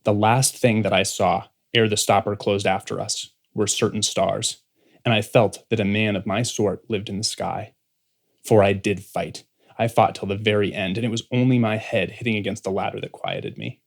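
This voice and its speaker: A man's voice: lowish voice, normal speed, creaky. A man playing a defiant, anguished young rebel in an audiobook drama, speaking with earnest intensity. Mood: haunted, bitter. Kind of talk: dramatic audiobook monologue and dialogue.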